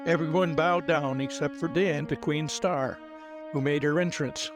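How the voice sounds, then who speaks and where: A man playing the measured narrator of a fantasy audio play, reading scripted storytelling lines. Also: fairly high pitch, steady tone, music in the background, lightly acted. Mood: narrating.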